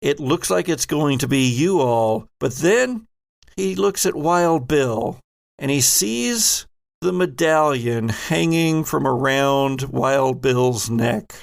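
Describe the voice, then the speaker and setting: Gravelly man narrating with theatrical flair. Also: mid-range voice. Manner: theatrical, drawn-out storytelling. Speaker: host and game master. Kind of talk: dramatic narration of a tabletop horror game.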